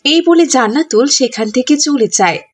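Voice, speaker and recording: A woman storyteller with a slightly high, husky voice reads scripted narration for a children's cartoon. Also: lively intonation, normal speed.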